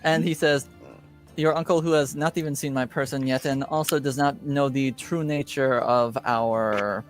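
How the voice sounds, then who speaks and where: A man's voice: medium pitch, fairly even intonation, soft background music. A man improvising in a roleplaying game, speaking in formal, hesitant phrases. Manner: formal, measured wording with hesitations. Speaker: tabletop roleplaying player. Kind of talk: improvised character dialogue with narration.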